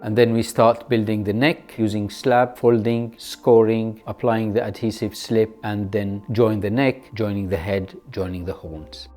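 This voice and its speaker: A low, soft-edged male voice with a Syrian Arabic accent. He is a native Arabic speaker explaining his craft step by step, documentary style, in plain and slightly non-native English. Faint music plays with him. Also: fairly even intonation, unhurried, few pauses. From Aleppo, Syria. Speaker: sculptor and archaeologist.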